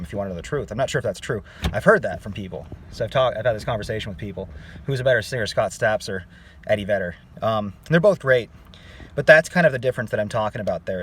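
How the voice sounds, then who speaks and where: A man's voice: medium pitch, a bit bright, a little hiss or hum. A man teaching singing in a casual online lesson, talking to viewers directly and checking in with "okay?" as he goes. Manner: conversational, addresses viewers as "guys", frequent "okay?" check-ins.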